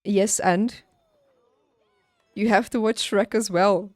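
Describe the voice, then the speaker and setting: A woman's voice: mid-range voice, fairly even intonation, slow pace, no room sound, clean studio sound. A woman livestreaming a game, chatting casually with her viewers in a playful, teasing way. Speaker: video game streamer.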